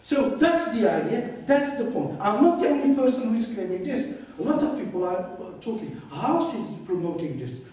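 A man's voice: high-pitched, moderate pace, hardly any pauses. A middle-aged man from Algeria, English not his first language, giving a formal university lecture and firing rhetorical questions at his audience. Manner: animated, asks himself rhetorical questions. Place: lecture room. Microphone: far camera. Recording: echoey room from far off.

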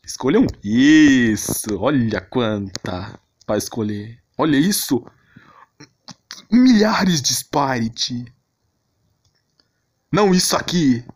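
A man's voice: medium pitch, lively intonation, slow, pauses often, husky. A Brazilian man in a casual, rambling, mock-outraged rant of commentary, full of slang. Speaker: video creator. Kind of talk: casual ranting commentary on anime characters. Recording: noisy home recording with clicks.

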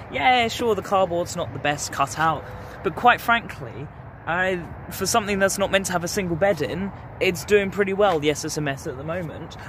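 A young man with an English accent talks casually to his viewers. His voice sits a little higher than most men's. He is chatty and informal, speaking into a phone held at arm's length. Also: background noise. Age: 20s. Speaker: vlogger. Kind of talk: casual vlog chat to camera.

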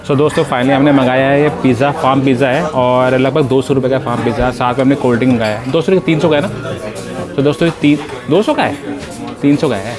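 A man in his thirties talks quickly and chattily to his viewers, walking a handheld phone camera through a parking lot and into a restaurant. His voice sits at an ordinary middle pitch. Clear background music plays under everything he says. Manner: chatty, addresses viewers as friends, explains prices. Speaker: travel vlogger. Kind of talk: casual walking tour vlog. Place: outdoor parking lot and airplane restaurant interior. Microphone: handheld phone camera, selfie framing.

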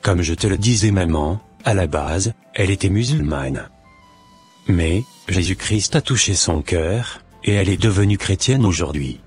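Deep husky calm young man, voiced by a man. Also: soft background music, exaggerated voice. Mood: calm, hopeful. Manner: measured, patient. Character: calm, devout young man hoping to marry. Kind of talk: scripted cartoon dialogue.

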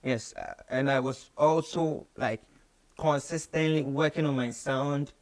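Male guest, twenties, Ghanaian accent, average pitch, casual conversational delivery with Pidgin and Twi mixed into English. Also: steady tone, measured pace. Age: 20s. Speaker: interview guest, musician. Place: small radio studio office, indoors.

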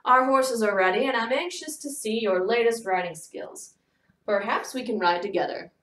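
Woman as Southern gentleman, American South accent, courteous, encouraging, projected, storybook, roomy echo. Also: fairly high pitch, normal speed, lightly acted. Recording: roomy echo, distant from mic.

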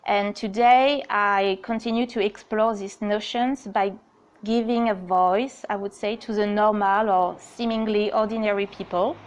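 A woman's voice: medium pitch, fairly even intonation, unhurried. A woman in her thirties with a French accent, presenting her art to an audience in a friendly, slightly apologetic way, with nervous little laughs. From France. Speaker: artist giving a talk. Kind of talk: presentation reading from notes. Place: outdoor courtyard on stone steps.

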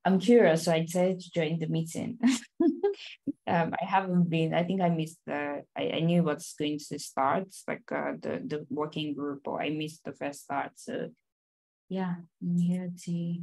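A woman's voice: low voice, vocal fry, a little mellow, low-quality recording. A woman who works as a community lead, introducing herself on a video call, hesitant, with many restarts and light laughs.

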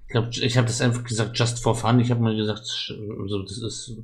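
Man with low, flat gaming commentary. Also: fairly quick. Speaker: streamer playing an adventure game. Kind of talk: casual live gaming commentary.

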